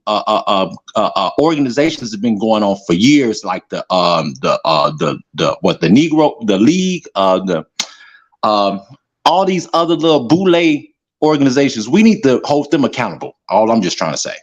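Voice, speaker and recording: A man from the South Side of Chicago calling in to give his opinion, talking in a halting way with many fillers and restarts. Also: medium pitch, very animated, highs cut off. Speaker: caller. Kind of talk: casual call-in opinion.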